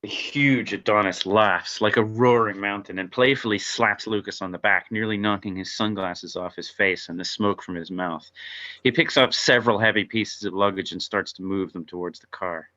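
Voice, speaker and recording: A man's voice: on the low side, steady tone, normal speed, bright, forceful tone, lo-fi sound. A man giving scripted narration, reading out stage directions at an informal table read.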